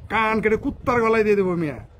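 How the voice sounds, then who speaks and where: A man with a high voice and a Bangladeshi Bengali accent rants about his views as a commentator. He is combative and scornful, with coarse language and pointed rhetorical questions. There is noticeable background noise on the recording. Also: expressive, quick pace. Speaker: political commentator. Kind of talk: informal political rant to camera.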